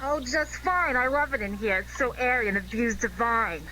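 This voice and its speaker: Woman playing a bright-voiced, sardonic girlfriend. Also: on the high side, moderate pace, a little hiss or hum, low-quality recording. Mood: sarcastic. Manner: dry, sarcastic. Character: sardonic, miffed young woman, sleuth's lady friend. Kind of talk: 1940s radio detective drama acting.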